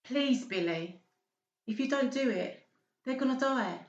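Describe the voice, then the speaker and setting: A man's voice: extremely high pitch, lively intonation, a little slow, pitched above the performer's own voice. An urgent, earnest young woman, acted out by a man for a dramatised audiobook. The voice is lifted well above the performer's own and pleads insistently. The recording is muffled and roomy. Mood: pleading. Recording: muffled, echoey home recording.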